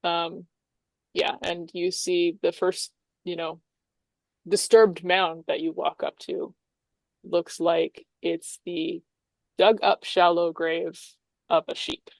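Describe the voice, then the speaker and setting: A woman's voice: on the low side, level tone, slow pace, vocal fry, pauses often, dry recording. A woman game master improvising tabletop game narration in a conversational, explanatory way.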